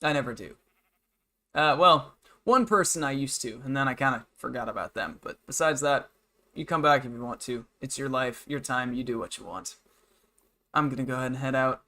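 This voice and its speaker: A young man in his twenties talks off the cuff to his livestream. His voice sits at an average pitch. He rambles and grumbles in a blunt, matter-of-fact way, like casual venting after a day of work. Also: lively intonation, moderate pace, pushed and bright. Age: 20s. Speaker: game livestreamer.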